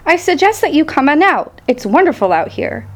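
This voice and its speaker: Woman voicing an excitable high-pitched storybook goose. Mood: urging. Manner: rapid, repeats phrases over and over. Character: bossy, excitable, fast-talking goose egging on a pig. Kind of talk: children's storybook read-aloud.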